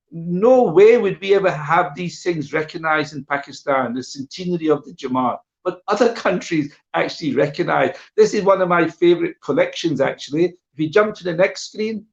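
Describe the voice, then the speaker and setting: A middle-aged man giving an informal illustrated talk online, conversational, repeating phrases for emphasis and checking in with his listeners. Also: on the high side. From born in Guyana. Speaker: guest speaker sharing memories of his father. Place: live online video call. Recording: dull, muffled online stream audio.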